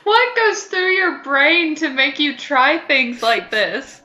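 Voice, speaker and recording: Female podcast co-host, sharp bright voice, slightly high, mock-exasperated comic banter, roomy echo. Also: sing-song, slow pace. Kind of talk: casual comedic banter.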